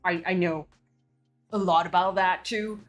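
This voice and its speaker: A woman's voice: fairly low pitch, steady tone, measured pace, soft background music. Blunt ranting woman. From Belgium. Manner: blunt, heated ranting with cursing and restarts. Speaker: streamer. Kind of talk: casual chat.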